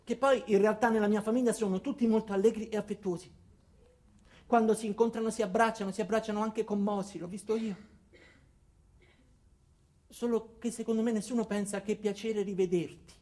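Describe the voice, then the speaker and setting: An actor playing an anxious, paranoid ordinary man, telling his story in a wry, deadpan theatrical monologue. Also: sits high, fairly quick, long pauses, close to an everyday voice. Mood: wry, resigned. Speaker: actor performing a comic monologue. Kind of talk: theatrical first-person comic storytelling.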